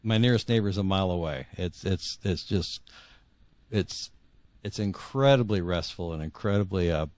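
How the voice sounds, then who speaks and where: Lowish-voiced American man, halting and conversational. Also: darkish tone, no room sound. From northwest Iowa, United States. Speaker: podcast guest, fiction author. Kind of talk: casual conversational interview answers.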